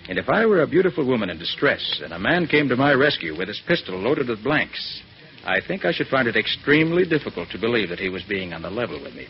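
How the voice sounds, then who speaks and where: An actor playing a confident master detective in a 1940s radio drama, brisk, authoritative and theatrical. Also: male voice, medium pitch, very animated. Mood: firm, accusing. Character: confident male master detective exposing a villain. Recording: muffled old 1940s radio recording, faint hiss.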